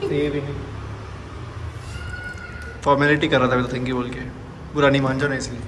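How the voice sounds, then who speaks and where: A man's voice: mid-range voice, flat delivery, a little fast. A young adult Indian man chatting casually and teasingly to his vlog viewers, mixing in English words. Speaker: vlogger. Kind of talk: casual vlog chat in Hindi-English mix. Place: busy malls and night food courts. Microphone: phone at arm's length. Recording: noisy outdoor crowd and traffic ambience.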